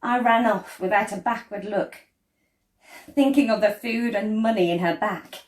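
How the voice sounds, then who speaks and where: A woman reads a children's story aloud expressively, with deliberate phrasing and dramatic pauses. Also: mid-range voice. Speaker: storyteller reading a picture book. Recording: roomy, echoey indoor sound.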